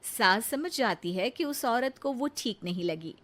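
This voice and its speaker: A woman's voice: on the high side, lively intonation, unhurried, a bit bright. A woman narrating a scripted moral story for children as its storyteller.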